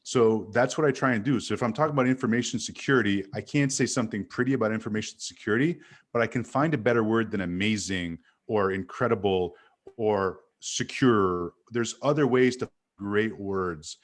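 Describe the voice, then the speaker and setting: A man from Montreal, an animated guest who tells stories and corrects himself as he chats on a video call. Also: on the low side, fairly quick. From Canada. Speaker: guest sales speaker. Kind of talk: casual video-call chat.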